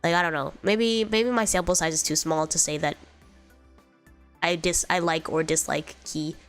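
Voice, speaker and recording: Stuffy-nosed young woman on stream, rambly and giggly, lowish voice, quiet music behind. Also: steady tone, moderate pace. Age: young adult. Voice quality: slightly congested voice. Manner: rambling, giggly, lots of fillers and restarts. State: congested, sick with a cough. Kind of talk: casual chat reading viewer comments.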